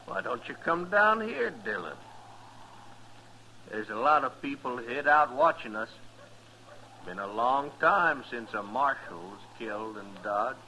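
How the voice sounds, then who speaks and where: A man's voice: medium pitch, lively intonation, unhurried, pushed and bright, exaggerated voice. A man acting a swaggering American gunfighter who calls out goading challenges in an old-time radio western. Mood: taunting, menacing. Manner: goading, calling out. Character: taunting, swaggering male gunfighter villain. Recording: noisy vintage radio recording.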